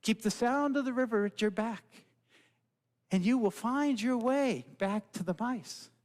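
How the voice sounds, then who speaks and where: A man voicing a calm, wise old male frog, keeper of the water, speaking slowly in a hushed, solemn way. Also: very high-pitched. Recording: long hall reverb.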